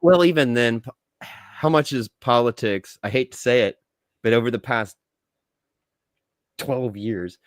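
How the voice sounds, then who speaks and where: A man's voice: mid-range voice, unhurried, pauses often, no room sound, clean studio sound. A man talking casually as a podcast co-host, slangy and emphatic, with some swearing. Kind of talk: casual movie review chat.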